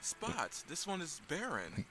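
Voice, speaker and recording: Higher-voiced man as a curious cartoon space explorer. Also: wildly swooping pitch, takes it slowly, heavily acted, low-quality recording. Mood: wondering. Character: curious space explorer character in an animated story. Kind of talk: scripted animation dialogue. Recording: loud music under the voice.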